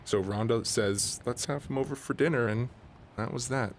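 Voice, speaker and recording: A man's voice: medium pitch, normal speed, slight background noise, lightly acted. A man playing a weary, plainspoken husband who talks matter-of-factly and grumbles in a mock radio documentary. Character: weary, plainspoken husband, gruffly kind rural rescuer.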